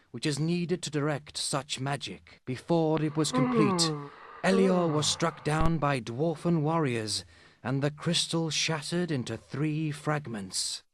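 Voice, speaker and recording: An actor playing a solemn, scholarly male elf in a fantasy video game, speaking formally and with measured gravity. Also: on the high side, no echo, clean studio sound.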